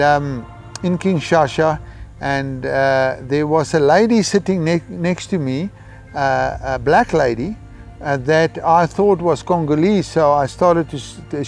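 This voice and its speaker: A middle-aged South African man with an Afrikaans accent tells a personal testimony in a hesitant, reflective way, with frequent pauses. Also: on the high side, darkish tone. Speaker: missionary giving a testimony. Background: background music.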